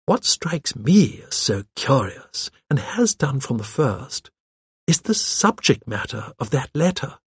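A man voicing a gentle, shrewd elderly spinster, prim and musing, in an audiobook. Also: medium pitch, big pitch swings, takes it slowly, slightly rough, dry recording, clean studio sound, exaggerated voice. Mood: politely puzzled. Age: older. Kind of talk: audiobook character dialogue.

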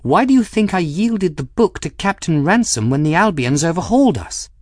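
A male narrator plays an imperious woman in an audiobook story. The voice is lifted in pitch and sharp-tongued, clipped and commanding, with intense impatience. The recording is dry and close. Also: lively intonation, takes it slowly, no echo. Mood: waspish, impatient. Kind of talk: audiobook character acting.

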